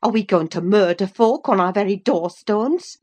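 A woman's voice: mid-range voice, lively intonation, moderate pace, a little mellow, voice pushed up, natural voice. A woman voicing a stout, bustling housekeeper in a classic novel, scolding yet kindly, in rustic dialect. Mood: scolding, motherly.

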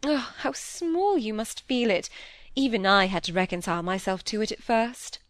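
A woman's voice: a little high, moderate pace, dry recording, natural voice. A woman voicing a genteel married lady in a period novel, gushing, affected, fawning and self-pitying. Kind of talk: audiobook character voice in a period novel.

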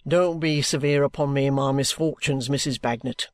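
A man's voice: medium pitch, steady tone, normal speed, no room sound, studio quality, natural voice. A man playing a blunt, courteous, stubbornly resigned trooper in a dramatized classic novel, speaking plainly and formally. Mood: lightly pleading.

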